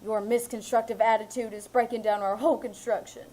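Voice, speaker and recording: Woman doing a stuck-up secretary, mellow voice, prim and affected, loves her big words, old radio comedy. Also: fairly high pitch, fairly even intonation, fairly quick, lightly acted. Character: pompous, word-proud young office secretary. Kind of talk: 1940s radio comedy acting. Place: live theater stage.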